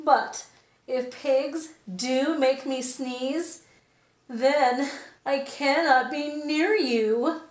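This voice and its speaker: A woman voicing an anxious, dramatic storybook elephant with exclamatory speech and halting build-ups to big sneezes. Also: high-pitched, reverberant. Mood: worried, dramatic.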